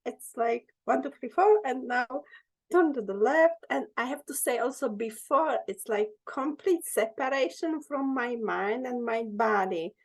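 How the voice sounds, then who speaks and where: A woman's voice: a little high, measured pace, poor audio. A woman with a Czech accent, a dance teacher, speaking hesitantly in English as she searches for words.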